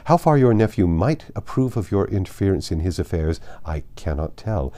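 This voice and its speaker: A resolute young lady of good family, voiced by a male audiobook reader. The speech is formal, articulate and self-possessed, mellow in tone with lively intonation, and it holds its ground firmly. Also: deep voice, moderate pace. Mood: defiant. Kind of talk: dramatized audiobook reading.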